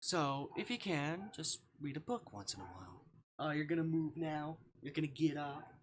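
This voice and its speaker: A man's voice: fairly high pitch, lively intonation, moderate pace. A man livestreaming a game casually, reading visual novel dialogue aloud with offhand, murmured asides. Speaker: streamer. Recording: clear background noise.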